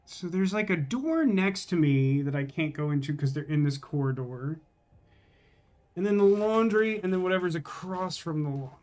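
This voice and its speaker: A man's voice: fairly high pitch, expressive, moderate pace, a little mellow. A man doing casual live video game commentary, rambling and wry as he thinks aloud and chats with viewers.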